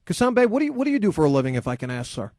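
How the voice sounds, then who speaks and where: A man's voice: sits high, sing-song, rapid-fire, a little mellow, no echo. A man hosting a sports call-in radio show, ranting combatively and sarcastically into a studio microphone. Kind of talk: heated opinion monologue and call-in.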